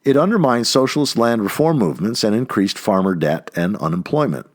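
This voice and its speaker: Male narrator for nonfiction essay reading: low-pitched, highly animated intonation, emphatic phrasing. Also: a little fast. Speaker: audiobook-style reader of an essay.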